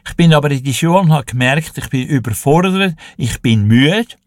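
Lively Swiss German man in his eighties. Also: fairly high pitch, fairly quick, no echo, clean studio sound. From Switzerland. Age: 80s. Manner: animated, anecdotal, chatty. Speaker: radio show guest and music presenter. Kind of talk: casual interview storytelling.

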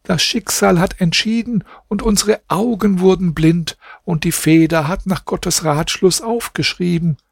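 Man doing a heartbroken captive princess in a storybook, pleading and mournful, voice pushed up high, a little husky, dry close sound. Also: steady tone, measured pace, few pauses, dry recording, full character voice. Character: grieving captive young princess, tearful wife.

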